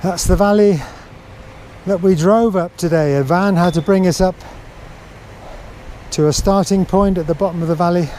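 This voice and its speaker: Male walking vlogger, soft dark tone, casual and unhurried, thoughtful pauses, slightly noisy outdoor camera sound. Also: high-pitched. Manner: reflective, unhurried, halting. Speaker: hiker filming his own walk. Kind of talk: casual walking vlog narration. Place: outdoors on a mountain trail.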